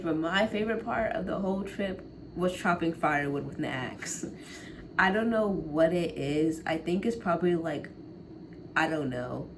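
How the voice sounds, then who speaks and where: A woman in her late teens chatting casually to the camera in a rambling way, often laughing and trailing off. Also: low voice. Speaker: college vlogger. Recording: noticeable background noise.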